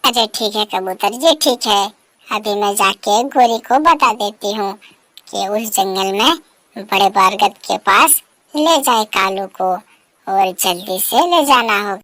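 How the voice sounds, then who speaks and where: A woman's voice: medium pitch, takes it slowly, a bit bright, no room sound. A woman voicing a concerned, advice-giving female bird in a cartoon story, urging and repeating her words. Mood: urgent. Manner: urging, repetitive. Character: busybody female bird friend, concerned and advising. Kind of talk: Hindi animated bird story dialogue.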